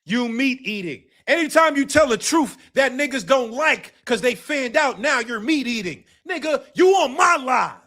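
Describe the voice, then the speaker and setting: Bright, high-voiced man ranting emphatically. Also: animated, moderate pace, hardly any pauses. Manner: emphatic, repeats himself, profane, bursts into shouts. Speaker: host of a live boxing talk stream. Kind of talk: casual live-stream commentary.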